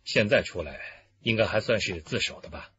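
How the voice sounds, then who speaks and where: An actor playing a young, arrogant, unrepentant killer confessing calmly in a radio crime drama. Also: male voice, lowish voice, dull audio. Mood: composed, resigned. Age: young adult. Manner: unrepentant, coldly deliberate.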